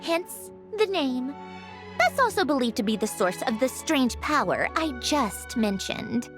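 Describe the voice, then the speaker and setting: A woman voicing a young female automaton in a video game, speaking in a measured, explanatory, slightly sardonic way. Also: high voice, big pitch swings. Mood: explaining. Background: game soundtrack music.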